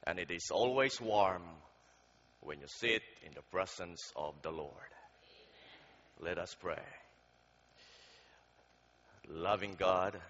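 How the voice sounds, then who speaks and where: Slow-speaking man preaching in a church. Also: sits low, fairly bright tone. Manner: slow, deliberate, halting delivery with fillers. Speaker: guest preacher. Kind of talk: sermon with prayer and storytelling. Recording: dull sound with long hall reverb.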